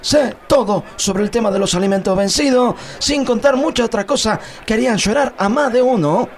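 A nervous, self-important male witness in a comic radio play. The voice is extremely high for a man. He sounds earnest and easily flustered, stammering in an Argentine accent. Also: normal speed.

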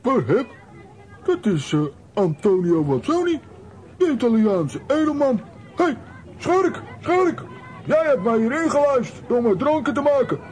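A man's voice: extremely high pitch, sing-song, measured pace, a little mellow, a faint crowd, a little hiss or hum, exaggerated voice. A man playing a blustering, hot-headed comic character in a children's radio play, exclamatory and indignant.

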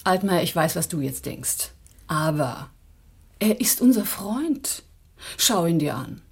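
A woman's voice: fairly low pitch, big pitch swings, slow, breathy edge, full character voice. An actress playing a wry but sympathetic woman, coaxing and teasing her partner in a theatrical way in a German radio drama. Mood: coaxing, pitying.

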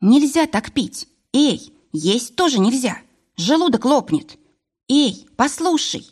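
A woman's voice: high voice, sing-song, a little slow, darkish tone, slightly rough, pitched above the performer's own voice, full character voice. A woman voicing an anxious, hot-tempered young woman in an audiobook story, exclaiming and pleading in an agitated way. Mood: worried.

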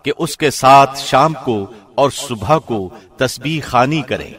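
A man reading a scripture translation from a script in a formal, measured, solemn manner. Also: medium pitch, expressive, fairly bright tone. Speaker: radio host reading a translation.

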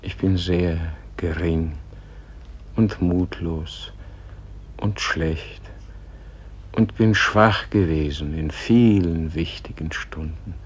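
Tiefe, demütige Männerstimme, getragen langsam. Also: male voice, low-pitched, breathy edge, full character voice. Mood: timid, humble. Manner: reverent, halting, prayerful. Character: humble, self-doubting soul of a martyred Indian leader. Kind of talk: solemn classic German radio play acting.

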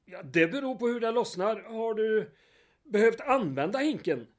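A man's voice: high voice, lively intonation. A man acting a put-upon town mayor in an audio drama, with a dry, sardonic manner. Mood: dryly practical. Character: exasperated, sarcastic male town mayor doing plumbing.

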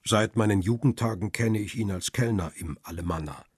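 A coolly observant male paparazzo telling his story in a radio crime drama. The voice is very raspy and fairly low, recorded close and dry. He narrates in a measured, descriptive way. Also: no echo. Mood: observant, calm. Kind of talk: scripted first-person radio drama narration.

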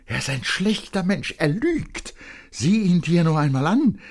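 A man's voice: high voice, big pitch swings, a little slow, pitched above the performer's own voice, exaggerated voice. A man voicing an agitated, defiant young boy in a literary audiobook, speaking vehemently and insistently to his mother. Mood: furious accusing. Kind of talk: dramatic literary audiobook acting.

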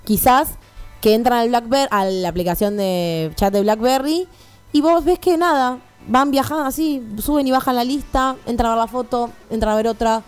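A woman in her twenties with an Argentine accent, telling colloquial, animated stories as co-host in a casual radio chat. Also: on the high side, normal speed, clean tone. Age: 20s. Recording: music playing behind. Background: background music.

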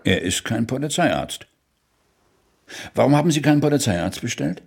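A sardonic male police investigator in a radio crime play. His voice is husky and gravelly, with lively swings of pitch. He questions pointedly and lets insinuation hang in every phrase. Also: normal speed, exaggerated voice. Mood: skeptical. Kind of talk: German radio crime drama.

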